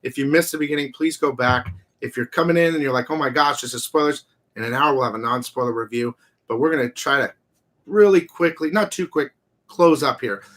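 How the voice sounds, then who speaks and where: A man's voice: mid-range voice, brisk, a bit bright. Slangy, casual man hosting a review. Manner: loose, colloquial, slangy. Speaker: host of a live movie review show. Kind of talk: casual unscripted film discussion.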